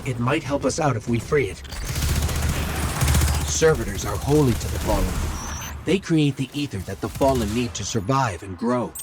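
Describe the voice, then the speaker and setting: A man playing an earnest, watchful robot companion in a video game, giving measured briefings and warnings. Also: mid-range voice, very animated, noisy background.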